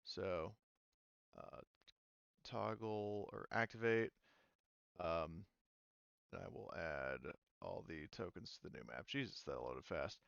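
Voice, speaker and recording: A man's voice: low voice, slow pace, creaky, pauses often, a bit bright, dry recording, dull audio. A man acting as game master, explaining rules and thinking aloud, with many fillers as he checks things. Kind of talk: running a tabletop game online.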